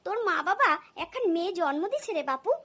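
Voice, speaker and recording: A woman's voice: extremely high pitch, sing-song, fast talker, fairly bright tone, heavily acted. A woman voicing a stern, exasperated older mother-in-law in a cartoon comedy, nagging with blunt sarcasm. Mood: scolding, exasperated. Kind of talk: cartoon family comedy dialogue.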